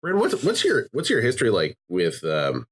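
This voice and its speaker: Male podcast host, low-pitched and animated, joking around casually. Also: brisk, husky. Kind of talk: casual chat.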